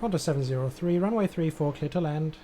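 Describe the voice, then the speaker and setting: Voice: medium pitch, lively intonation, fast, mellow. A streamer playing air traffic controller in a game, giving clipped standard instructions with casual asides. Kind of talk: gameplay stream, issuing radio-style instructions with casual asides.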